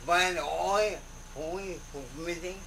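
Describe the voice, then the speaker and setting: Creaky, bright-voiced spiritual teacher, terse fragments. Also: medium pitch, steady tone, slow pace, slight background noise, rough recording. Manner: terse, fragmentary, repeats single words.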